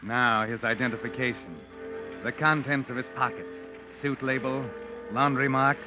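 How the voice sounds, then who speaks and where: An actor playing a knowing, taunting narrator in an old-time radio mystery, speaking deliberately. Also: male voice, medium pitch, fairly even intonation, lightly acted. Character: sardonic omniscient narrator addressing the murderer. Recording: muffled old radio recording with music.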